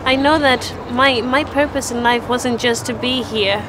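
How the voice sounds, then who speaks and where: A young woman from Zimbabwe giving a personal faith testimony in an earnest, even way, with small restarts. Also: a little high, a little slow. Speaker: church testimony speaker. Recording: outdoor street noise behind the voice.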